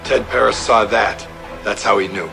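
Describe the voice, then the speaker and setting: A man's voice: fairly low pitch, steady tone, moderate pace, creaky, music in the background. A man playing a terse, driven police detective in a crime drama, with clipped lines and hard-boiled narration. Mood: matter-of-fact.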